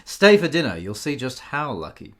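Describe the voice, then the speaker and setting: A man acts out a jovial gentleman writer in a period radio play. His mid-pitched voice is highly animated, full of teasing, hearty, old-fashioned phrasing. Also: normal speed. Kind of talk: radio drama acting.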